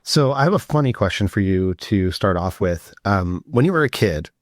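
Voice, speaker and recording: Friendly podcast host guy, really low voice, dry close mic, easygoing questions. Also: expressive, moderate pace, no room sound. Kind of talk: casual interview hosting.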